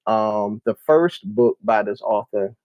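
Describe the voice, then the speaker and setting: A man's voice: fairly low pitch, slow, no room sound, lo-fi sound. A middle-aged man with an American accent chatting casually about books as a co-host, repeating phrases for emphasis. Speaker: co-host of a book review show. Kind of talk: casual book chat. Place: indoor room with bookshelves.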